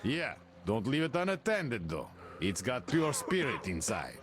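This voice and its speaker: An actor playing an easygoing, wry guard in a post-apocalyptic video game. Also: male voice, medium pitch, wildly swooping pitch, a little slow, a little hiss or hum. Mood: friendly briefing. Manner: wry, casual. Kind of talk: video game cutscene acting.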